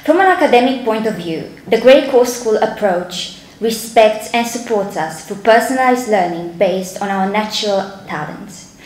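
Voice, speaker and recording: A woman's voice: medium pitch, animated, moderate pace, a little mellow. A teenage girl from Romania with a Romanian accent, giving a formal, earnest speech as head girl from a school stage podium. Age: late teens. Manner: formal, earnest, read from notes. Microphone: podium microphone. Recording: echoey hall.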